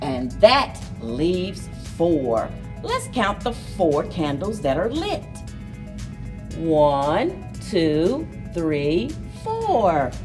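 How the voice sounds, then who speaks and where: A woman leads a story time for young children in an expressive, very slow delivery. Her voice sits a little low but swings widely in pitch. She is picked up from some way off the microphone. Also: far from the mic, over music. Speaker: library story time host. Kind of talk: children's picture book read-aloud and story time.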